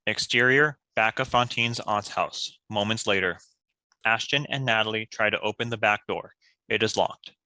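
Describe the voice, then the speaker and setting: Male script narrator, low-pitched yet very bright and forceful, reading stage directions. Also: moderate pace, no echo.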